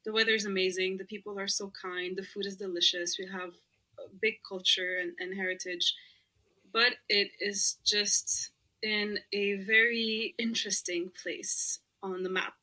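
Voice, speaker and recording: A young woman with a bright, clear voice. Her is Arabic, but she talks easily in English. She is chatty and laughs often as a podcast guest. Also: medium pitch, flat delivery, normal speed, rough recording. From Lebanon. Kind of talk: casual interview chat.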